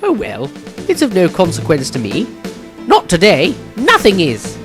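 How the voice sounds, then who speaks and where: Man playing a higher-pitched, bemused young wizard. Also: wildly swooping pitch, a little slow, a bit bright, faint music behind, full character voice. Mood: musing. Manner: muttering to himself, musing. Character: young male apprentice wizard, newly master, earnest, bemused.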